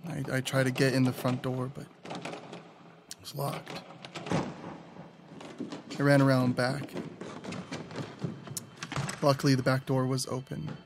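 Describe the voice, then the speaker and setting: A weary, earnest man played by a male actor in an audio drama, pensive and halting. His voice is slow and full of fry, with music playing clearly underneath. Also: mid-range voice, monotone. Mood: somber, haunted. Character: Raphael, weary, earnest, haunted man, a first responder type.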